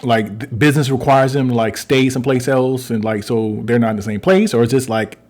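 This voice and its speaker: A man's voice: mid-range voice, a little fast, a little mellow. A man in his forties, a relationship coach co-hosting, who talks casually, thinks aloud and fills his speech with "um" and "you know". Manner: thinks aloud with many fillers, polite. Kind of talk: casual conversational chat.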